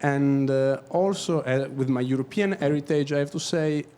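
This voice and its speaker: A man with an Italian accent, Italian his first language, speaking up from the audience at a talk, halting and searching for words. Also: mid-range voice, warm tone. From Italy. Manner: halting, searching for words, then quick bursts. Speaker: audience member, documentary photographer. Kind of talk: question-and-answer at a talk.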